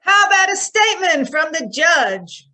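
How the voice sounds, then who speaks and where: A woman playing a pushy, loud news reporter in an old time radio drama. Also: high voice, very animated, measured pace, exaggerated voice. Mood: insistent.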